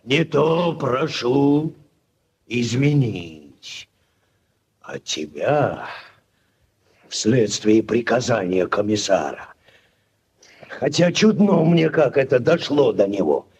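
Pompous old village headman, husky, swooping. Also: mid-range voice, big pitch swings, takes it slowly, long pauses, darkish tone, muffled recording, heavily acted. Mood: blustering, stern. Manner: blustering, repeating himself. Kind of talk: 1940s Soviet radio play acting. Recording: vintage 1940s radio recording.

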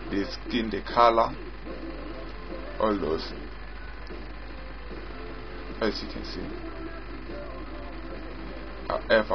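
A man giving an unscripted software walkthrough as its developer, explaining haltingly and repetitively in short phrases. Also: lowish voice. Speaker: software developer demonstrating own app. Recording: dull lo-fi audio with loud music. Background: loud music.

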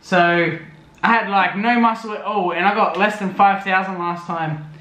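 Young guy, vlog voice-over, high and bright, rambling, roomy echo. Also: fairly even intonation, moderate pace. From Australia. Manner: rambling, lots of fillers. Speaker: vlogger. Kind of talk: casual voice-over recap.

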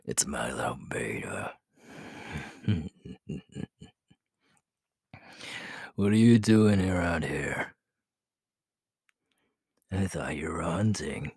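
A man voicing a cocky, possessive, cruel young werewolf king in an ASMR audio roleplay, husky and breathy, often dropping to a whisper, with a teasing, menacing manner. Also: a bass voice, lively intonation, a little mellow, no room sound, studio quality, full character voice. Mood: smug, teasing. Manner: slow, teasing, menacing drawl.

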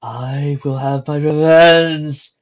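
A woman voicing a menacing, vengeful phantom in a breathy voice for a story. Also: deep voice, fairly even intonation, slow, muffled recording, pitched above the performer's own voice. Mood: vengeful roar. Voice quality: breathy, whispered or thundering. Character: vengeful menacing phantom, rasping threats of revenge.

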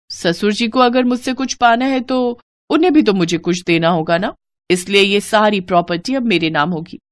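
Woman voicing a scheming, taunting young daughter-in-law, animated. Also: fairly high pitch. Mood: sly, taunting. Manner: taunting, bossy.

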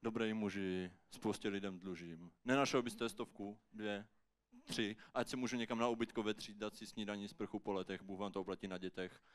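Man acting out a halting, pleading homeless man. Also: lowish voice, flat delivery, pitched below the performer's own voice, natural voice. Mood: humble begging. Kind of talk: rhymed slam poetry monologue.

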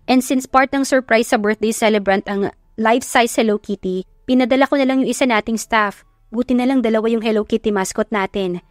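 A woman's voice: a little high, brisk, dry recording, clean studio sound, faint music behind, close to an everyday voice. A woman voicing a concerned, reassuring female event manager in a romance audio story. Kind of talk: acted dialogue in Tagalog romance audio story.

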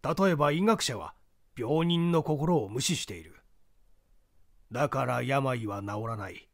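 A man's voice: medium pitch, animated, measured pace, dry recording, studio quality. A man voicing an opinionated professor of forensic medicine in a dramatic audiobook reading, declaiming in a didactic, emphatic way. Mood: emphatic, assertive.

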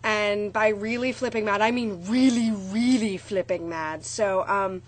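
A young woman, a little hoarse, chatting in a rambling, bubbly way to her friends from a small dorm room on a webcam. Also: medium pitch, measured pace. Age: young adult. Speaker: vlogger. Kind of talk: casual chat to friends. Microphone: webcam at close range.

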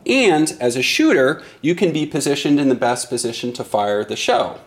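A middle-aged man giving an instructional product demonstration in a deliberate, explanatory way. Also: medium pitch. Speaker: product presenter.

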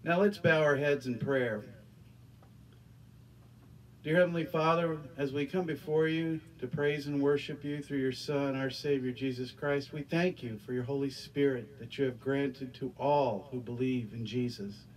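A man's voice: medium pitch, steady tone, normal speed, a little mellow, recorded from a distance, rough recording. A middle-aged man preaching and praying as pastor in a small church, earnest, often repeating his words, speaking into a handheld microphone. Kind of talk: sermon and prayer. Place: small church stage with curtains.

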